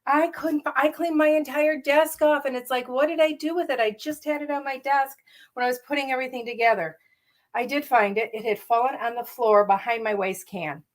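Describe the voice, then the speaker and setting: Middle-aged woman, slightly raspy morning voice, higher pitch, chatty craft demonstrator, enthusiastic, lots of ums. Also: fairly quick. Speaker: card-making demonstrator. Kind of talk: casual live craft tutorial.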